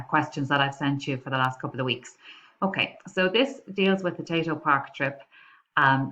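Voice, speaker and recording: A woman's voice: low-pitched, bright, forceful tone, lo-fi sound. A woman with an Irish accent teaching informally step by step as a tutor, often checking in with an "okay". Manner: instructional, checking in with frequent "okay". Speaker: adult education maths tutor. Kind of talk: informal step-by-step screen-share lesson. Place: indoors, recorded over a screen capture.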